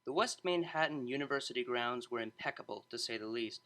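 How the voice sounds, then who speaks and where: A man's voice: mid-range voice, fairly quick, pushed and bright. A man reading a scripted story aloud as an audiobook narrator.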